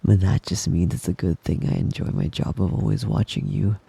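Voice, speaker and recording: Male actor as doting possessive wolf boy, very dark mellow tone, gravelly fry, intimate ASMR whispering, coaxing. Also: low voice, steady tone, exaggerated voice. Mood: possessive, tender. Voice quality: breathy, husky, often dropping to a whisper. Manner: slow, coaxing, teasing endearments.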